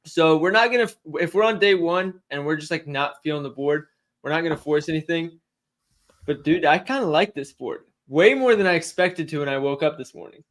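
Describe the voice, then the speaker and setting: Young guy chatting bets on a stream, bit higher voice, laughs a lot. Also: a little fast, fairly bright tone. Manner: chatty, answers chat questions, laughs easily. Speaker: sports betting stream co-host. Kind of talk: casual livestream chat.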